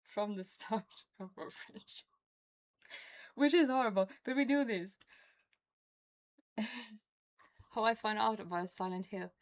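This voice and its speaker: A woman's voice: medium pitch, a little slow, pauses often. Rambling Norwegian woman streamer. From Norway. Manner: rambling and chatty, laughs often. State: has a toothache. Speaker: game streamer. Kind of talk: casual chat while streaming a game.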